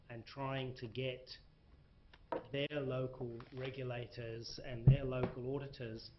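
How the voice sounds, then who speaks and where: A man's voice: mid-range voice, heavy background noise. A man from South Africa speaking on a panel discussion, emphatic and often restarting his sentences. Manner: emphatic, restarts, hesitant fillers. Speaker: panel speaker, corporate reporting. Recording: muffled lo-fi recording.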